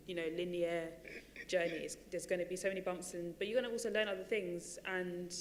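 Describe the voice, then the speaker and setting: Woman rambling on a stage panel, lowish voice, theatre reverb hanging on it, faint hum. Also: steady tone, a little fast. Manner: chatty, many fillers and restarts. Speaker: panel speaker, running coach.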